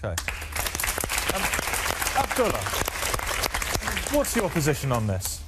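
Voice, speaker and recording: A man hosting a studio debate, asking probing questions in a halting way with many fillers. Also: medium pitch, huge pitch swings, over a noisy crowd, very noisy. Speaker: debate show host.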